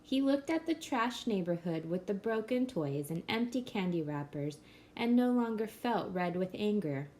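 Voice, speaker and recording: A woman reading a children's picture book aloud in a gentle storybook manner. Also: medium pitch, lively intonation, normal speed, slight background noise.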